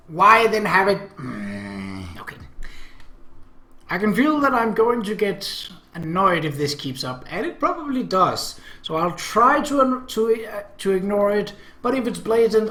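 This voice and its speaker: Higher-pitched, animated man in an echoey room. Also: a little slow. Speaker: let's play host. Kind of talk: reading a visual novel aloud with casual commentary.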